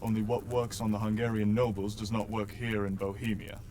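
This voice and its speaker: A man's voice: on the low side, little pitch movement, a little fast, darkish tone, slight background noise, close to an everyday voice. An actor playing an earnest, respectful young nobleman in a game cutscene, explaining things politely. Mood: earnest, explanatory. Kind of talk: video game cutscene acting.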